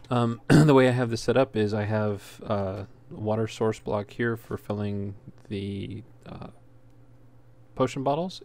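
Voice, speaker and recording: Low-voiced man narrating a game, noisy recording. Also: darkish tone. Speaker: gaming video host. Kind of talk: casual unscripted game walkthrough commentary. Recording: noisy home recording, clear background noise.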